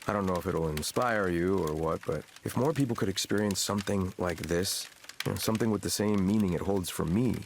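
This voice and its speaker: A man's voice: low voice, a little fast, a little hiss or hum. A man playing an earnest, idealistic young male grad student in a visual novel, speaking in a reflective, hesitant, naturalistic way. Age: young adult. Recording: music behind the voice. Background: background music.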